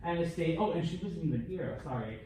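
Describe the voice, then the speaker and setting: A male language instructor giving a relaxed classroom lecture, joking and checking in with students often. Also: on the high side, fast talker. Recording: echoey classroom from a distance, faint noise.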